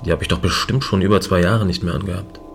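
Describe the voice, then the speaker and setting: Casting: male actor as lonely space guardian, very low voice, dry and casual, audio drama with faint music. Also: fairly even intonation, quick pace, creaky. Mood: wry. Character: wry, lonely male space station watchman. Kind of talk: science fiction radio play acting.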